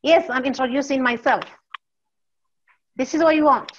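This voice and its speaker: A woman's voice: high voice, normal speed. A middle-aged woman from Morocco with a Moroccan accent, an English teacher introducing herself informally on a call. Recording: dull, lo-fi call audio.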